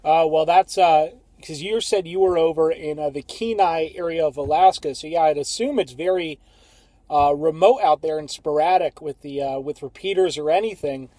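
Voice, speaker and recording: A man's voice: fairly high pitch, moderate pace, mellow, no room sound. A young American man chatting casually as an amateur radio operator, recorded inside a car. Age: young adult. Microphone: phone camera facing him, close.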